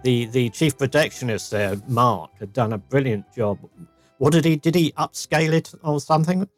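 A man, co-hosting a podcast in relaxed, chatty talk with frequent restarts. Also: mid-range voice, normal speed, soft background music. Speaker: podcast co-host. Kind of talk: casual chat.

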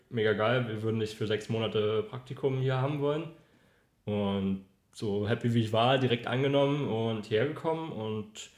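A man from Berlin talks as a guest in a casual conversation. His pitch is average and his storytelling is informal. The recording has a clear room echo. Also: darkish tone. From Berlin, Germany. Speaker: podcast guest, industrial designer. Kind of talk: casual chat, personal storytelling. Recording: roomy, echoing room.

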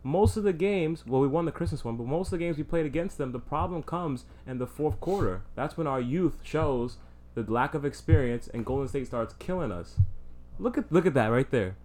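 A young man co-hosting a podcast in casual chat, low-key but firm when he defends his team. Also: expressive, fairly quick, darkish tone. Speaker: podcast co-host.

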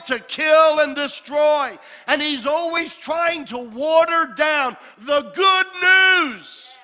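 Preacher man shouting in a hall. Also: very high voice. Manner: builds to shouted climaxes, then drops to a jokey aside. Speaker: pastor. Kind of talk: sermon. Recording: long hall reverb.

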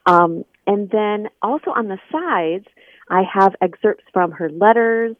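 A woman's voice: medium pitch, animated, measured pace, dry recording. A woman guest explaining her scholarly work informally and conversationally in an interview over a remote call. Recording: thin phone-like line.

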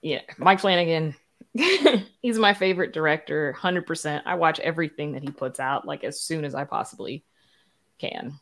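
A woman's voice: low voice, animated, normal speed, fairly bright tone. A woman chatting casually as a podcast guest, enthusiastic and emphatic about what she likes. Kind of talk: casual chat.

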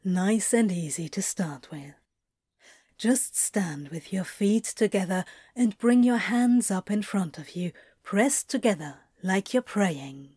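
A woman voicing an easygoing, teasing male yoga teacher in audio fiction, gentle, reassuring and playful. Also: mid-range voice, animated, takes it slowly, slightly rough, no echo, studio quality. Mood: patient, instructing. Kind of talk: audio fiction character acting.

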